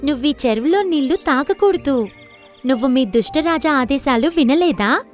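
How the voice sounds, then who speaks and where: A woman's voice: high voice, lively intonation, normal speed, faint music behind. A woman voicing a beautiful magical fish with an admonishing manner in a children's cartoon story. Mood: warning.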